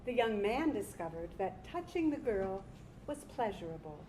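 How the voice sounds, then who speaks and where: A woman's voice: mid-range voice, big pitch swings, moderate pace, a little mellow, rough recording. A woman performing a staged reading and speaking to a live audience as director and performer. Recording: live stage recording with background noise.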